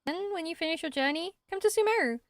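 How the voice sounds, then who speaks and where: A woman's voice: very high-pitched, expressive, quick pace, dry recording, voice pushed up. A woman voicing a kind, earnest young female forest patroller who is protective like a big sister, in an amateur game voice-over. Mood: warm, grateful.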